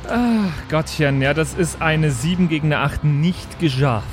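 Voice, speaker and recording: A man's voice: on the high side, measured pace. A man taking part as a player in a tabletop game, chatting casually and jokingly. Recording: faint music behind the voice. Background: background music.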